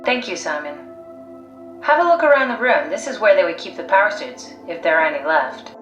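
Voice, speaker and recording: A woman's voice: medium pitch, sing-song, brisk, fairly bright tone, muffled recording. A woman playing a pragmatic, driven, blunt scientist in a video game, analytical, matter-of-fact and persuasive. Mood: coaxing. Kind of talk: video game voice acting, naturalistic dialogue. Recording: echoey sound. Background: faint music.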